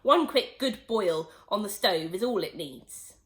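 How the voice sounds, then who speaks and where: Woman voicing a scheming young boy, gleeful and low-voiced asides. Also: expressive, measured pace, a bit bright. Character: mischievous boy scheming at grandma.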